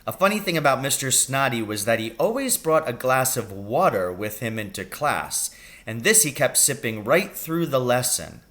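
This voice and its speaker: A man reading a children's story aloud as a teacher would, with expressive narration. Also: mid-range voice, moderate pace.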